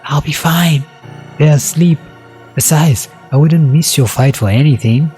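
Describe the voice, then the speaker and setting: A man voicing an eager, hot-headed teenage boxer in a dramatized story, earnest and excitable. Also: a little high, measured pace, soft background music, natural voice. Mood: defiant, trusting.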